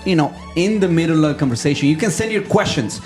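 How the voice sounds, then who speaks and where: A man's voice: fairly high pitch, animated, fast, darkish tone, soft background music. A man co-hosting a live church talk show, speaking casually with lots of fillers and restarts while greeting the audience. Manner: rambling, filler-heavy, chatty.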